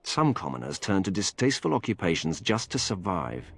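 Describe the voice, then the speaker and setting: Casting: shadowy game informant; very low voice, lively swoops of pitch, measured and secretive, formal diction. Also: male voice, vocal fry, heavily acted. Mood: guarded, conspiratorial. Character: shadowy male vigilante informant, wary and calculating. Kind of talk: video game voice acting.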